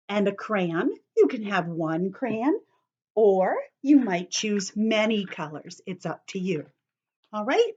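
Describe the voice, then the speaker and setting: Gentle woman coaching kids through a calming drawing. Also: medium pitch. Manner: gentle, encouraging, talks directly to kids. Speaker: teacher reading to children. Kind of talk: guided calming activity for young children. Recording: dull lo-fi home video sound.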